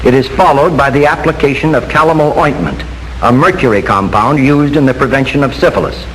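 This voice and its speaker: An American man reads a scripted 1940s instructional film narration in a formal, measured, instructive manner. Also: mid-range voice, fairly bright tone. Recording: noisy 1940s film soundtrack with hiss.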